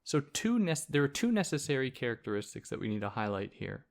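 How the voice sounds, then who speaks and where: A man's voice: mid-range voice, brisk, gravelly. Soothing-voiced man explaining philosophy. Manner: relaxed, conversational, with fillers and asides. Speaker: podcast host. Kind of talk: casual explanatory philosophy lecture.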